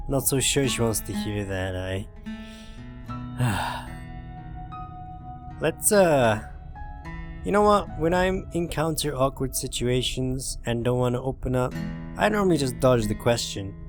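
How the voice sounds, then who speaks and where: A man hosting a gaming playthrough, reading a visual novel aloud with informal, jokey asides and occasional swearing. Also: mid-range voice. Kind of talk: narrated playthrough with dramatic reading and casual asides.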